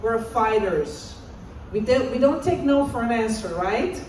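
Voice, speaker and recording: Woman in her 50s, mellow encouraging presenter, heard from a distance in a booming hall. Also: animated, unhurried, slight background noise. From Romania. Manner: encouraging, asks audience to call out answers. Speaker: assistant professor and presenter. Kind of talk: interactive conference talk. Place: large conference hall. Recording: echoey hall, voice far off.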